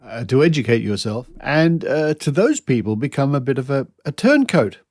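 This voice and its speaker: A man interviewing as a podcast host, phrasing his thoughts in a measured, reflective way. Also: mid-range voice, sing-song, a little mellow, dry recording, studio quality. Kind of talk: conversational interview.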